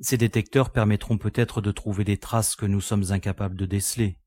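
A man voicing a level-headed young male explorer in an audio novel, making measured suggestions. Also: on the low side, fairly even intonation, warm tone, dry recording, voice pushed down, natural voice.

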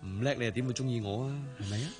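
A man's voice: mid-range voice, racing, darkish tone, faint music behind, natural voice. A man playing a confident, easygoing young jockey and doting fiancé in a Cantonese radio drama, with a teasing manner. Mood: cocky, teasing. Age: young adult.

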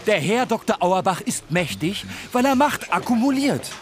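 A man's voice: sits high, sing-song, normal speed, slight background noise. A man playing a sardonic, resentful skeptic in a radio play, blunt and needling.

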